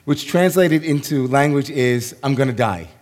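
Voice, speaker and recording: A man, a rabbi, giving a sermon in a slow, reflective way with long pauses and a voice gone hoarse. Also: mid-range voice, darkish tone. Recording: long hall reverb.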